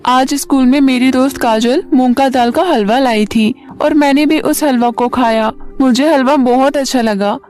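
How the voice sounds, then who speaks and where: An eager, kind-hearted little schoolgirl from a storybook, voiced by a woman, speaks politely in a high, childlike voice. Music plays underneath. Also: normal speed, hardly any pauses, a little mellow, natural voice. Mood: pleading.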